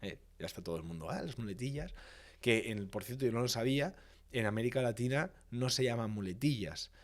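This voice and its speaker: A man's voice: mid-range voice, expressive, a little fast. A man from Valencia, Spain, a public speaking expert, explaining his points in a podcast interview with emphatic, didactic repetition. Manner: didactic, emphatic, lists points and repeats phrases for rhythm.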